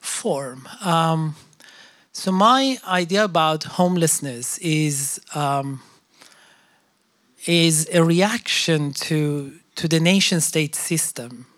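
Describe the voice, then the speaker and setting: A thoughtful panel speaker talks haltingly, stopping often to search for words. The voice is mid-pitched. It is recorded dry and close, with no room sound. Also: a bit bright, dry recording. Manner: halting, many fillers and restarts, thoughtful. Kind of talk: reflective discussion.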